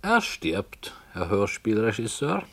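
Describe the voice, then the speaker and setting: An actor playing a stubborn, calm radio playwright who narrates his dark story with deliberate literary phrasing in a 1960s German radio drama. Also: on the low side, gravelly, full character voice. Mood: measured. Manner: measured, deliberate.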